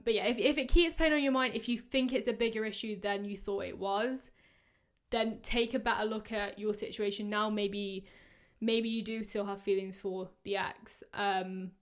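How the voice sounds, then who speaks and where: A woman in her twenties hosting a podcast, giving casual, rambling advice full of fillers, her voice going hoarse. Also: mid-range voice. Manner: chatty, rambling, many fillers. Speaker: podcast host. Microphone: podcast microphone.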